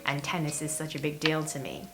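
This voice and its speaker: Low-pitched woman vlogger over loud music. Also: animated, a little fast. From Hong Kong. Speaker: vlog host. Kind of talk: chatty personal storytelling to camera. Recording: voice over prominent background music.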